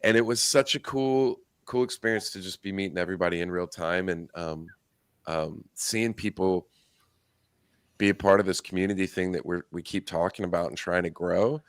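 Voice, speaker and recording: A man co-hosting a livestream, chatting casually in a relaxed, rambling way, his voice hoarse from losing it. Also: sits low, normal speed, no echo, studio quality. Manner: laid-back, rambling with fillers. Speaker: livestream co-host. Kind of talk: casual chat.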